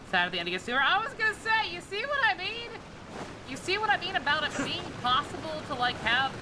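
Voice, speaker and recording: A woman's voice: fairly high pitch, very noisy. A woman game streamer giving casual, irreverent live commentary, rambling and swearing freely.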